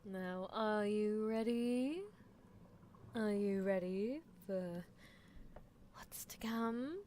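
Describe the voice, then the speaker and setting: A woman's voice: medium pitch, drawn-out words, dark tone, a little hiss or hum. A woman voicing a playful mad-scientist horse girl who teases and dotes on a test subject in live roleplay ASMR. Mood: teasing, affectionate. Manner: teasing, theatrical, doting.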